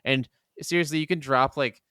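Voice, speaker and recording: A man's voice: mid-range voice, steady tone, moderate pace, pushed and bright, smooth, no room sound, clean studio sound. A man co-hosting a podcast, chatting casually and enthusiastically about video games and laughing easily. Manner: enthusiastic, gushing. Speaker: podcast co-host.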